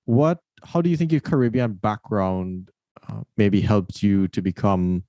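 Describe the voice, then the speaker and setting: A man's voice: fairly low pitch, measured pace, dark tone, no room sound, lo-fi sound. A man hosting an interview, talking thoughtfully and telling stories, often repeating words as he starts his sentences. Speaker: podcast host. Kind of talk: casual interview conversation.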